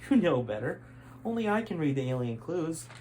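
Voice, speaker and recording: A man's voice: on the high side, big pitch swings, normal speed, darkish tone, slight background noise, pitched above the performer's own voice. Man doing a cocky, brash adventurer hero. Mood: defiant.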